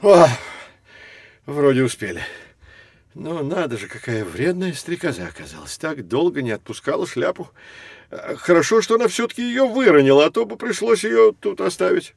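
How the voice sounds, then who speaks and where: An actor playing a fussy, kindly professor who guides children in an educational audio drama, excitable and exclamatory, yet gentle when explaining. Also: male voice, on the high side, moderate pace, vocal fry, no echo, exaggerated voice. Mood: urgent.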